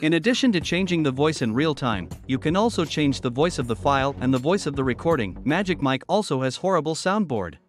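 A man narrates a scripted how-to promo in a fairly high register. His delivery swings with lively intonation, and soft music plays behind him. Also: brisk, few pauses. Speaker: tutorial narrator. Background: background music.

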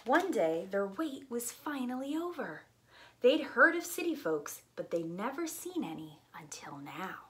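A middle-aged woman reads a children's storybook slowly and deliberately. Her voice is bright and swoops with expression, like a teacher at storytime. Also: on the high side. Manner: slow, deliberate, animated storyteller delivery. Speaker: preschool teacher reading picture books. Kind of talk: expressive storytime read-aloud. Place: small indoor room with bookshelf.

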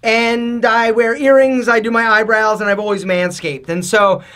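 A man in his forties with a high voice, giving emphatic style advice straight to camera in a studio. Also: fairly even intonation, moderate pace, fairly bright tone. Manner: emphatic, gesturing, addresses viewers as guys. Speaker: men's style vlogger. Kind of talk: energetic direct-to-camera advice. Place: studio against a dark backdrop.

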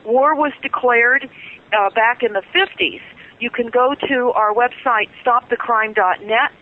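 A woman guest speaking urgently and insistently over the phone on a radio talk show, with frequent filler ums. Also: on the high side. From California. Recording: tinny phone line.

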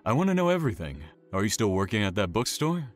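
An actor playing a taunting, obsessive imprisoned serial killer in an audio horror drama, smug and teasing with drawn-out menace. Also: male voice, lowish voice, very animated. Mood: taunting, probing.

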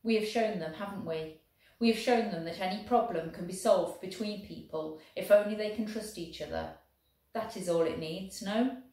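A woman's voice: medium pitch, normal speed, warm tone, heavy reverb, close to an everyday voice, poor audio. Woman doing a courteous soldier. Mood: gentle. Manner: formal, careful. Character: tall German soldier, courteous and gentle.

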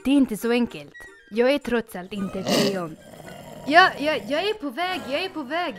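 A woman's voice: sits high, expressive. A woman voicing a fussy little bird, a lion king's lookout, in a cartoon fan dub, nagging and flustered. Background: music.

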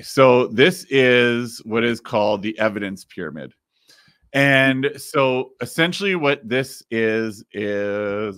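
A man in his thirties, slightly raspy, hosting a casual podcast and explaining things with plenty of fillers, recorded in a home studio. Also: medium pitch, fairly bright tone, no echo. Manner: explains while screen-sharing, many fillers. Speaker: podcast host. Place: home studio with neon sign.

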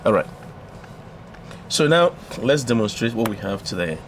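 A man presenting an unscripted electronics tutorial, explaining step by step in a halting way. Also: lowish voice, very animated. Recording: clearly audible background noise.

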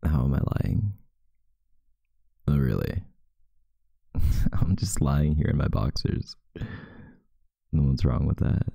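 A young man doing an intimate ASMR boyfriend roleplay as a sleepy, affectionate, teasing partner in bed, murmuring softly and drowsily close to the microphone. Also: a bass voice, lively intonation, takes it slowly, long pauses, no room sound. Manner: soft, drowsy murmuring, partly breathy and whispered. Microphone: close microphone, dry sound.

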